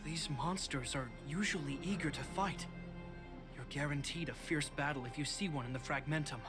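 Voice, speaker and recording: A man voicing a protective young soldier in a video game, earnest and measured, with a reassuring manner. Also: on the high side, close to an everyday voice. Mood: alert, steady. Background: game music.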